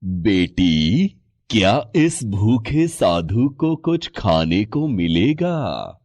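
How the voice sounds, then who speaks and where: A man playing a slow, kindly old holy man. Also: on the low side, very animated, a little mellow, dry recording, heavily acted. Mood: gentle, concerned. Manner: slow, solemn, drawn-out.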